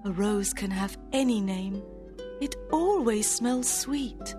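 A woman voicing Juliet, an earnest, lovestruck young girl, in a high, yearning and pleading way for an audiobook drama. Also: expressive, slow pace, music in the background.

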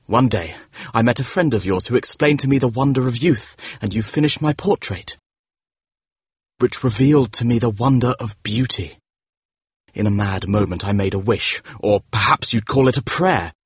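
A cold, cruel young gentleman in a dramatised audiobook, played by a male reader. The voice is medium in pitch and husky, close and dry. He talks in a hushed, bitter way. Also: normal speed, no room sound, natural voice. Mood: brooding. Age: young adult.

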